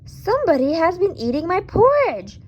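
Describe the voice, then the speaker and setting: A woman voicing a growly, indignant father bear who exclaims loudly in a children's storybook. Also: very high voice, big pitch swings, moderate pace, slight background noise, pitched above the performer's own voice, rough recording.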